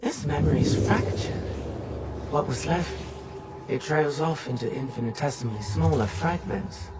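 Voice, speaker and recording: An actor playing an ominous, composed guide to memories in a video game, speaking in a measured, enigmatic manner. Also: male voice, fairly high pitch, natural voice. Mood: cryptic, composed. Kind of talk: scripted game narration. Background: music.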